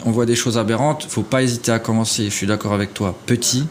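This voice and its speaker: A man speaking as a podcast guest in an apartment, earnestly handing out advice. His voice is low-pitched and broken up by frequent fillers. A faint hiss sits behind him. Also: steady tone, quick pace. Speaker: guest, property investor. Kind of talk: casual interview chat. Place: apartment rental abroad.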